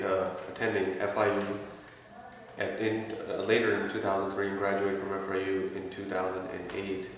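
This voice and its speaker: A man in his thirties from Pakistan, answering interview questions with many pauses and restarts. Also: low-pitched, recorded from a distance. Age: 30s. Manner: hesitant, many fillers and restarts. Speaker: interviewee, assistant store manager. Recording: echoey room, voice from afar, faint noise.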